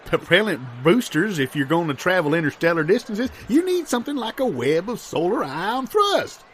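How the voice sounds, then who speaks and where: A man's voice: high-pitched, big pitch swings, normal speed, fairly bright tone, a little hiss or hum. A man playing a folksy, self-important small-town councilman who argues pompously in improvised comedy. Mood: earnest, opinionated.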